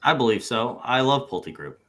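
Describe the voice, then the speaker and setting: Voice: mid-range voice, big pitch swings, normal speed, fairly bright tone. A performer reading a deposition transcript as an evasive male businessman who hedges his testimony as a witness. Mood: evasive, stubborn. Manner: evasive, hedging, noncommittal. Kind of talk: read-aloud deposition transcript.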